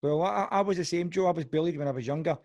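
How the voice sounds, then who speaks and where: A man with a Glasgow accent hosting an amateur podcast, chatty and friendly, dropping in quick "yep"s as his guest talks. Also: on the high side, a little mellow, no room sound. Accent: Glaswegian. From Scotland. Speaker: podcast host. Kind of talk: casual interview.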